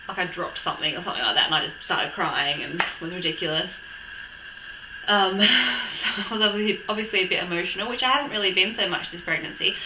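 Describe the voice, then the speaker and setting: A young woman with a croaky voice from a cold, chatting casually to camera with lots of ums and little laughs. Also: on the low side, sharp tone, reverberant, slight background noise, low-quality recording. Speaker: vlogger. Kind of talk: casual chat.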